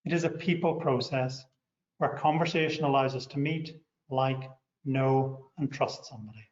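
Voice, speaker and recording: A middle-aged man with a Northern Irish accent, a company director giving a measured, scripted overview of his organisation. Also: medium pitch, steady tone. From Northern Ireland. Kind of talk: scripted promotional talk. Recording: echoey, muffled room sound.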